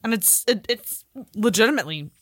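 A woman co-hosting a podcast in casual chat, expressive and quick to swear. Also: mid-range voice, no echo, studio quality. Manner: expressive, profane. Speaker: podcast co-host.

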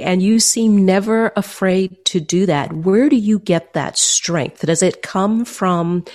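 A woman's voice: medium pitch, darkish tone. A woman interviewer who speaks with enthusiasm, explains her ideas through metaphors and asks probing questions. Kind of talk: conversational interview.

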